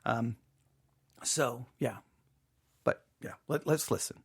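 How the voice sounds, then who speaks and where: A man hosting an informal spoken commentary, emphatic and halting, repeating himself and adding rhetorical asides. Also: medium pitch, husky, no room sound, clean studio sound. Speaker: podcast host commenting on a drama.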